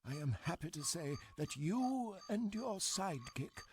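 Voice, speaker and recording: An actor voicing a dignified, scheming old man in a video game, with a formal, deliberate and theatrical manner. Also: high voice, big pitch swings, darkish tone, a little hiss or hum, heavily acted. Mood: grave, urgent. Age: older. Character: scheming, dignified old male resistance elder. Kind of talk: dramatic video game cutscene acting.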